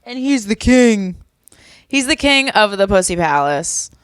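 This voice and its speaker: A woman's voice: mid-range voice, sing-song, a little slow, smooth, no room sound, clean studio sound. A young woman from Boston co-hosting a podcast, rambling and musing in casual chat. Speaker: podcast co-host. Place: Miami home.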